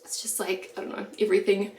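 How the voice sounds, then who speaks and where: A woman's voice: medium pitch, little pitch movement, fast, a little mellow. Young NZ woman, congested nasal voice, casual filler-heavy chat, echoey kitchen. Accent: New Zealand. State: congested, stuffy nose. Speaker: vlogger. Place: home kitchen. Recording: echoey room.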